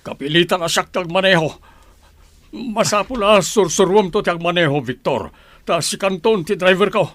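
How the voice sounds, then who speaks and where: A man's voice: high-pitched, moderate pace, fairly bright tone, no echo. A commanding male voice of an actor in Ilocano radio drama. He is a worried, well-off husband used to giving orders to his staff.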